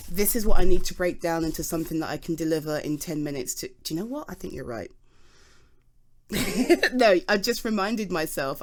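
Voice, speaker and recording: A British woman playing a good-humoured, nerdy geologist in a conversational ASMR roleplay, chatty and halting as she explains things earnestly. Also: fairly low pitch, lightly acted. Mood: playful, animated. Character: good-humoured, nerdy young female geologist, flustered but enthusiastic. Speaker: audio roleplay performer. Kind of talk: ASMR roleplay, conversational one-sided dialogue.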